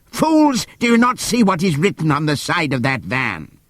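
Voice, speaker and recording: A man voicing an agitated, furious old donkey in an audiobook, shouting urgent and scornful warnings. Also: a little high, huge pitch swings, normal speed, no echo, voice pushed up.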